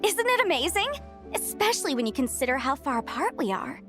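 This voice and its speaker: A woman's voice: high-pitched, very animated, fairly quick. A woman playing a bubbly, curious, chatty young woman in a video game, speaking with enthusiasm. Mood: enthusiastic. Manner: bright-eyed, enthusiastic, conversational. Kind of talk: video game character acting. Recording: faint game music behind the voice.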